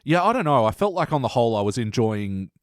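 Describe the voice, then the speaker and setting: Animated man chatting as a podcast co-host. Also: mid-range voice, fast, no room sound, studio quality. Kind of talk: casual chat.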